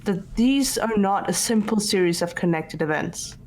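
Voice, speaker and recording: A woman playing a composed, logical student detective in an amateur game dub, speaking in a measured way over music. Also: medium pitch, expressive, a little mellow, close to an everyday voice. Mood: calm, analytical. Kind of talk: scripted amateur visual novel voice acting. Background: background music.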